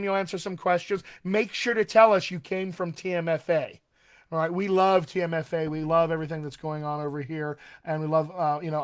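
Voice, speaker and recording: Chatty male dialect coach, slightly high. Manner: friendly, chatty, many ums and uhs. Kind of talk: live-streamed solo talk.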